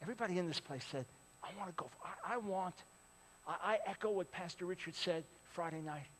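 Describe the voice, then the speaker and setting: A man in his sixties preaching a sermon, swinging from loud appeals to hushed, pleading lines. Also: high voice, a little fast, long reverb tail, a little hiss or hum. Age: 60s. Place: large church with a balcony.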